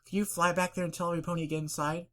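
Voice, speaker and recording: Someone voicing an earnest, raised-pitch pony leader. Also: little pitch movement, very fast, a bit bright, lightly acted. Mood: urgent. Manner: earnest, take-charge. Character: sensible, commanding female unicorn pony leader.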